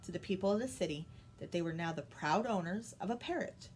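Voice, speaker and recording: A woman's voice: on the low side, lively intonation, brisk, a little mellow, a little hiss or hum. A woman storyteller reading a children's story expressively.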